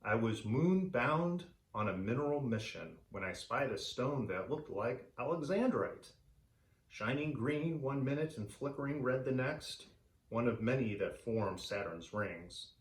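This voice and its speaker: A man reading a story aloud for children in an animated, theatrical way. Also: mid-range voice, unhurried, roomy sound, rough recording. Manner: animated, theatrical delivery for young listeners. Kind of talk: expressive read-aloud storytelling.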